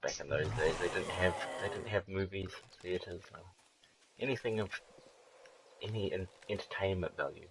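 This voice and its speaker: A man's voice: deep voice, normal speed, background noise. A man streaming a game live, chatting casually with viewers, swearing freely and laughing often as he rambles through jokey asides. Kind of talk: live gameplay commentary.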